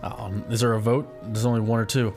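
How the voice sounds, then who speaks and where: A man co-hosting a casual chat show with a raspy voice, talking bluntly and swearing freely. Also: on the low side, flat delivery, a little fast, a little mellow, faint music behind. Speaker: co-host.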